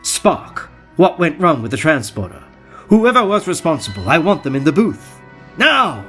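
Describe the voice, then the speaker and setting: An actor playing a hot-tempered, imperious starship captain in an audio drama, with a commanding, emphatic delivery. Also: male voice, fairly high pitch, big pitch swings, normal speed, a bit bright, faint music behind. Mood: angry, demanding.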